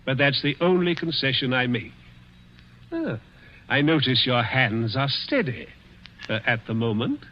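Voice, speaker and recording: A man's voice: mid-range voice, lively intonation, unhurried, long pauses, natural voice. An actor playing a cruel, dying rich man who taunts with sly chuckles in a 1940s radio drama. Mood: menacing. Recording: dull old radio broadcast.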